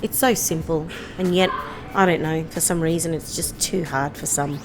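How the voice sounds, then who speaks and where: A woman's voice: sits low, steady tone, normal speed, music in the background, poor audio. A middle-aged woman telling a personal story in a reflective, firm, conversational way. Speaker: interviewee.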